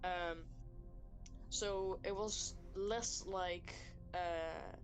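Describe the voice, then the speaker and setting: Lower-pitched woman chatting informally. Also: fairly even intonation, drawn-out words, poor audio. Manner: informal, peppered with "like". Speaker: game developer, guest collaborator. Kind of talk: casual chat. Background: music behind the voice.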